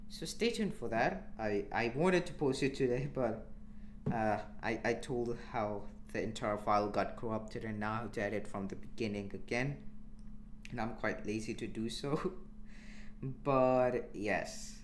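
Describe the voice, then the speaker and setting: A young man from India commentating his live game stream in a relaxed, chatty way, answering chat as he plays. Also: fairly low pitch, measured pace, a little hiss or hum. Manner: relaxed, chatty, with frequent restarts and small laughs.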